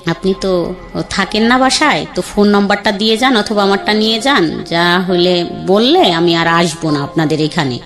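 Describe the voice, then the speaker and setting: A woman's voice: mid-range voice, animated, moderate pace. A woman in her thirties with a Bangladeshi colloquial accent, plainly telling her life story and acting out what others said. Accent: Bangladeshi colloquial Bengali. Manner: plain conversational, acts out quoted dialogue. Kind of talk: candid storytelling. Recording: faint background music.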